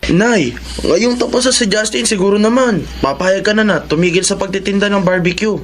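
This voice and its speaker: Guy acting caring teasing eldest son, young, high pitched voice, radio drama w/ noisy ambience. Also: fairly quick, close to an everyday voice. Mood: earnest.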